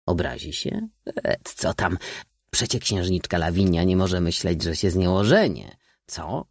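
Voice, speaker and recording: A man's voice: sits low, expressive, measured pace, no room sound, clean studio sound, heavily acted. A man voicing an eager, awkward young aristocratic suitor who blurts and corrects himself, in an audiobook story. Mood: flustered. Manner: blurting, hesitant, self-correcting.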